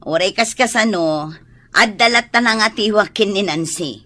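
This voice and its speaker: A woman's voice: medium pitch, normal speed, bright, forceful tone, lightly acted. A woman with an Ilocano accent playing a spiteful, envious wife and mother with a scornful manner in a radio drama. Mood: mocking.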